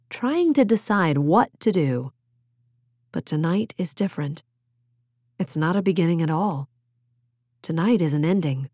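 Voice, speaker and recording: A woman reading a novel as an audiobook narrator, measured and expressive in her storytelling. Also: fairly low pitch, dry recording, clean studio sound.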